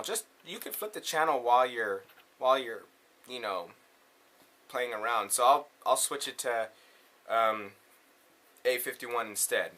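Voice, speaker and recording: A man's voice: mid-range voice, bright, forceful tone. A man hosting a casual hands-on tutorial, talking in an informal, slangy way. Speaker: tutorial host.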